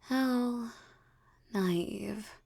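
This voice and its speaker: A woman playing a haughty, predatory female vampire in intimate audio roleplay, teasing and condescending, with a breathy murmur that slips toward a whisper. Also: fairly high pitch, a little mellow, heavily acted. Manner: teasing, condescending, slow deliberate delivery.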